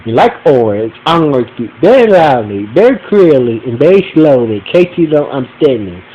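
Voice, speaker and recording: A man's voice: mid-range voice, big pitch swings, fairly quick, warm tone, lo-fi sound. A man with a stuffy nose hosting a casual podcast, recapping an episode in a rambling way that repeats phrases and keeps restarting sentences. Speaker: podcast host reviewing an episode. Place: room at home with a fan running. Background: humming fan.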